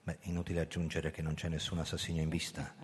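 An actor playing a bitter, framed police commissioner on the run, with a brooding, dramatic delivery in a radio drama. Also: male voice, extremely low pitch, completely flat delivery, fast talker, slightly rough. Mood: bitter, defensive. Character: framed police commissioner, bitter fugitive man narrating his downfall. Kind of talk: radio drama acting and first-person narration. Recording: echoey hall, music underneath. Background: music.

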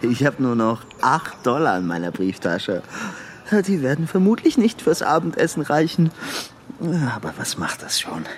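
A man's voice: mid-range voice, sing-song, normal speed, a little hiss or hum, lightly acted. An actor playing a deluded, lonely man in a radio play, chatting to an imagined sweetheart with murmured questioning tags, swinging from tender to curt. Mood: giddy, doting.

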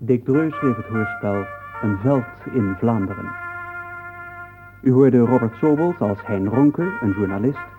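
A man's voice: mid-range voice, expressive, measured pace, over music. A man announcing radio play credits in a formal, scripted style. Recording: thin phone-like old radio recording.

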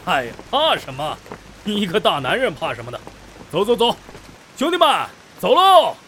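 An actor playing a brash, hearty leader of a band of travellers in a costume audio drama, familiar and bossy. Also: male voice, high voice, wildly swooping pitch, normal speed, pushed and bright, slight background noise, heavily acted. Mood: hearty coaxing.

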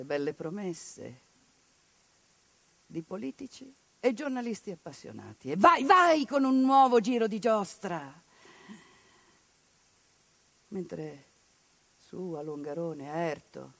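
A woman actress performing a theatrical monologue, narrating with passion and dramatic swings between hushed and loud delivery. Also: lowish voice, gravelly. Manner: impassioned, dramatic swings in loudness. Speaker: stage actress. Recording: radio broadcast of a stage piece.

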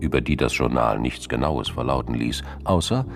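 Very deep, gravelly male narrator. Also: normal speed, faint music behind. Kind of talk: scripted crime drama narration.

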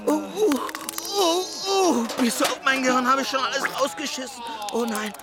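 An actor playing a miserable soldier in agony, moaning and wailing in a radio drama. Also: male voice, very high voice, lively intonation, normal speed, loud music behind the voice. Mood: anguished wailing.

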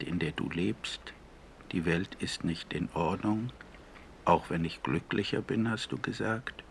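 A man conducting a reflective documentary radio interview in deliberate, measured, earnest phrasing, on a 1970s recording. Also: low voice, gravelly, fairly bright tone, slight background noise.

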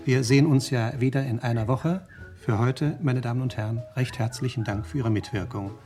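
A man's voice: medium pitch, a little fast, dark tone, faint music behind. A man formally closing his show and politely thanking the audience. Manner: formal, courteous. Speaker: show host signing off.

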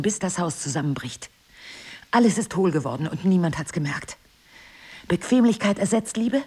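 An actress playing a middle-aged, wounded and jealous wife in an intimate drama, with intense, emphatic phrasing. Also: female voice, fairly low pitch, a little mellow, husky. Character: wounded, bitter, jealous wife, drinker, ageing and proud. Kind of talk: intimate stage-play dialogue and confessional monologue.

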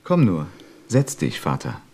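Deep-voiced, boastful businessman son. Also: wildly swooping pitch, darkish tone, full character voice. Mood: pleased. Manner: brisk, self-assured, persuasive. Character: confident, boastful businessman son, practical and eager. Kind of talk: literary radio play acting.

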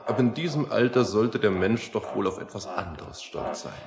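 An actor playing a blunt, dismissive skeptic in a satirical radio play, dry and questioning. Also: male voice, on the low side, moderate pace, a little mellow, soft background music, close to an everyday voice.

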